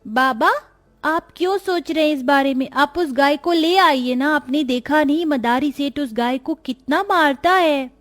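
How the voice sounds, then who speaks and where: A woman's voice: high voice, measured pace. A woman voicing a caring young village child in a cartoon story, speaking eagerly and tenderly.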